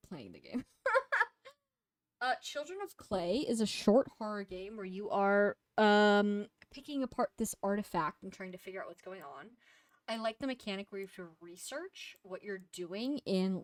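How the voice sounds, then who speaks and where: Young woman co-host, casual rambler with a loose, profane delivery, slightly sniffly and hoarse, dry close sound. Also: medium pitch, dry recording. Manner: rambling and profane, with many fillers. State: sniffly, losing her voice. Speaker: co-host reviewing games. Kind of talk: casual chat.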